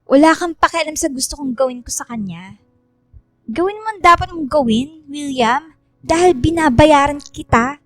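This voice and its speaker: A snobbish young schemer in a radio drama. Her voice is high and dry, with music faintly underneath. She is haughty and taunting, dropping English into her speech. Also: animated, moderate pace, a bit bright, no echo. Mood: cold, scheming. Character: snobbish, scheming young woman, a mean two-faced best friend.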